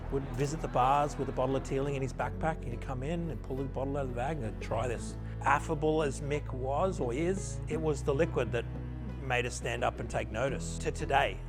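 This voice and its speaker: A man who represents a whiskey brand, telling its story in a documentary interview, reflective and repeating words as he goes. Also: mid-range voice. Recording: interview with clear music underneath.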